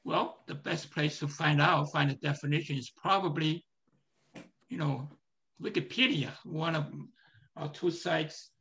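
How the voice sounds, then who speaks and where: A man with a hoarse, strained voice giving a live screen-share presentation, talking in a halting way with many fillers and restarts. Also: fairly high pitch, steady tone, fairly bright tone, dull audio, slight background noise.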